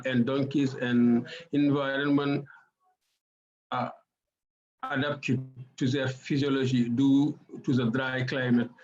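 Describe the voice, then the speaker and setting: A man with a Senegalese accent giving a formal speech as an ambassador, halting, with many filler sounds and restarts. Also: mid-range voice, lo-fi sound. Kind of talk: formal speech at an online side event.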